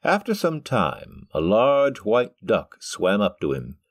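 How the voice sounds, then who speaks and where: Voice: medium pitch, huge pitch swings, takes it slowly, no echo, clean studio sound. A narrator of an audio drama, reading a scripted story.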